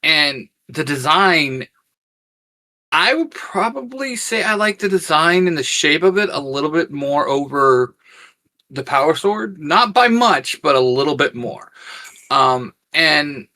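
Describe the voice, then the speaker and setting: A man co-hosting a casual geeky review, reasoning through his opinions in a measured way. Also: on the high side, animated, sharp tone. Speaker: co-host of a fan discussion show.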